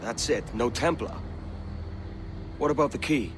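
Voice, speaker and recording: An actor with a Welsh accent playing a roguish, blunt, self-interested pirate assassin in a video game, brusque and casual in speech. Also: male voice, mid-range voice, measured pace, noisy background, close to an everyday voice. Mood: blunt, impatient. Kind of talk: dramatic video game acting.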